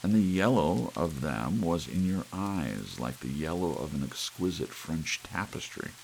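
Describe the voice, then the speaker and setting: A man narrating a scripted suspense story as an ASMR audiobook, with soft rain behind him. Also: a bass voice, lively intonation, measured pace, gravelly, poor audio. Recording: faint hiss and soft rain.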